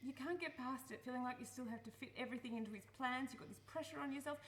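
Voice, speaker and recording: A woman playing a worried, guilt-ridden adult daughter in a radio play, protective and earnest, speaking haltingly. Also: high-pitched, slight background noise, natural voice. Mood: concerned.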